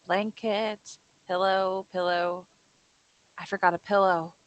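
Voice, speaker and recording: A woman's voice: mid-range voice, steady tone, slow, natural voice, poor audio. A woman acting a jumpy young woman who mutters to herself while alone, in a horror script table read. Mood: preoccupied. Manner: self-directed muttering.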